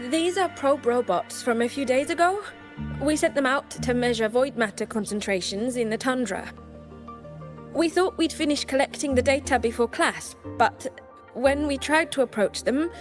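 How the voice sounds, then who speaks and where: This very high, youthful voice belongs to an earnest student in a video game. She is easily flustered and apologetic, hesitant but polite and eager to explain. Music is clearly heard behind her. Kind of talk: scripted game dialogue.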